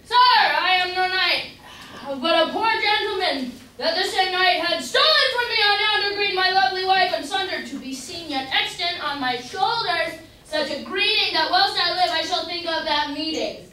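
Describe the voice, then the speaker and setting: A woman playing a whining, cowardly, foolish gentleman suitor in an amateur staged reading of a verse comedy. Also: very high voice, normal speed. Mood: pitiful. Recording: distant, very echoey room.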